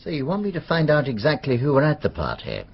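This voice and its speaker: An actor playing an older retired British police superintendent in a radio drama, practical, informal and musing. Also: male voice, a little high, huge pitch swings, fast talker, gravelly, husky, heavily acted. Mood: musing, helpful. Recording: slightly dull radio recording.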